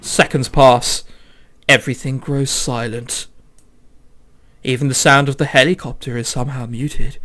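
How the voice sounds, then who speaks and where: A man's voice: mid-range voice, fairly even intonation, a little slow, long pauses, a bit bright. A man who is a let's player reading a visual novel aloud dramatically, with exclamatory, sweary joking commentary.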